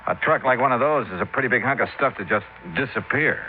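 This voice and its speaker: An actor playing a sharp, skeptical hardboiled insurance investigator in 1950s radio drama, American, with a brisk, wry, probing delivery. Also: male voice, medium pitch, narrow, tinny audio, close to an everyday voice. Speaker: lead actor and narrator. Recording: thin, noisy band-limited vintage radio recording.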